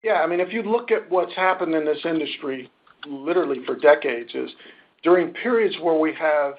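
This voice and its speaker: Measured executive man on a muffled call. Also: on the high side. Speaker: company finance executive on an earnings call. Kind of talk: answering analysts' questions on a conference call. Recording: muffled conference-call audio.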